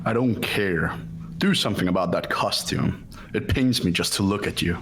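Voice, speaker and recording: A man's voice: deep voice, moderate pace. A man voicing a stern, disdainful young man in a fan-voiced visual novel, curt in manner. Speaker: voice actor in fan game playthrough. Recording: music under the voice.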